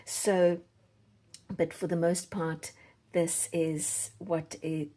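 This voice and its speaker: Casting: South African woman, casual craft-video host, slow delivery, husky and gentle in tone. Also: fairly low pitch, flat delivery. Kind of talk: casual chat to viewers while doing a giveaway drawing.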